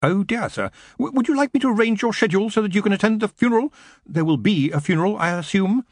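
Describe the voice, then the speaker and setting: A man's voice: sits high, animated, fast talker, no room sound, studio quality, pitched above the performer's own voice. A man voicing a nervous, dutiful young wizard assistant in an audiobook, hesitant, flustered and polite. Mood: concerned.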